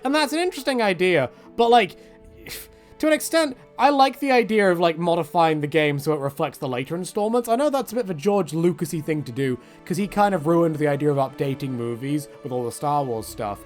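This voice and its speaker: Lively, higher-pitched man rambling about games. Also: a little fast, soft background music. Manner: rambling, joking, self-deprecating, slangy. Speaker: gaming video creator. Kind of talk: casual opinionated video game commentary.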